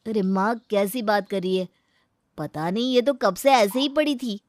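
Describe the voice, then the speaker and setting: A woman's voice: mid-range voice, unhurried, dry recording, lightly acted. A woman voicing an easygoing, apologetic young husband and son in a comic family story, with a mild, hesitant manner. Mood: apologetic, puzzled.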